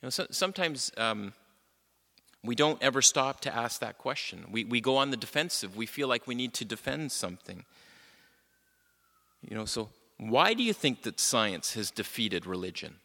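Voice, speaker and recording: A man leading a church class with a loud voice, talking loosely with many fillers and putting questions to the room. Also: medium pitch, sing-song, moderate pace, gravelly, in a large hall. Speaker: class leader.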